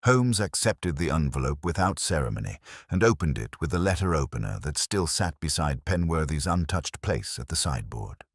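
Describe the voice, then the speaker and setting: A man's voice: very deep voice, very animated, normal speed, hardly any pauses, breathy edge, no echo, studio quality. A man reading a scripted dramatic story as an audiobook narrator.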